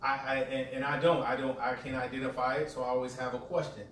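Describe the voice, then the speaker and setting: A man's voice: mid-range voice, flat delivery, quick pace, fairly bright tone, a little hiss or hum. A man asking questions hesitantly in a group discussion, stammering and restarting. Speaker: panelist. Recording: echoey room, distant mic.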